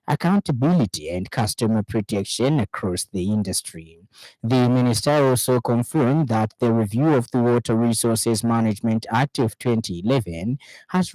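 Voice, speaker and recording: A man's voice: medium pitch, mellow, no room sound, rough recording. A man with a Zambian accent reading a scripted radio news bulletin in a studio.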